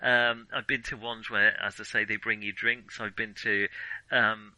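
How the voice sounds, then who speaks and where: A man's voice: on the low side, flat delivery, unhurried, sharp tone, no room sound, low-quality recording. A man co-hosting a podcast, chatting casually about films in a hesitant, rambling way as he thinks aloud. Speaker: podcast co-host. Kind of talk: casual film discussion.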